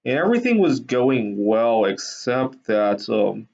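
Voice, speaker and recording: A man from Taiwan casually telling personal anecdotes to viewers in a hesitant, halting way with frequent ums. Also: medium pitch, very animated, gravelly, darkish tone. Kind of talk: casual rambling storytelling. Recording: dull lo-fi sound.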